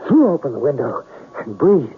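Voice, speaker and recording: An actor playing a proud, dreamy writer in a mystery radio drama, theatrical and deliberate, lingering over his phrases. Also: mid-range voice, wildly swooping pitch. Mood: dramatic, hushed. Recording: narrow tinny radio broadcast, faint hiss.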